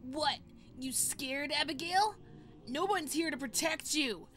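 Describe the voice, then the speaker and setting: A woman's voice: high voice, slow, a bit bright, slight background noise. A woman voicing a cruel, taunting young bully in a fan audio drama. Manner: taunting, sneering.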